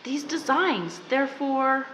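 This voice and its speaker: A woman's voice: sits high, animated, takes it slowly, fairly bright tone, heavily acted. A woman playing a plucky amateur sleuth in a radio drama, earnest and old-fashioned. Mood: alarmed. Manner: earnest, breathless, old-fashioned heroine talk. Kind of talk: 1940s radio drama acting. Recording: noisy background hiss.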